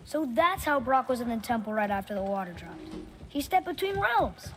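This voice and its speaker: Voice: medium pitch, sing-song, moderate pace, a bit bright, slight background noise. A performer playing a curious, earnest young boy adventurer who asks many questions in a video game. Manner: inquisitive, questioning. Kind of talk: naturalistic video game acting.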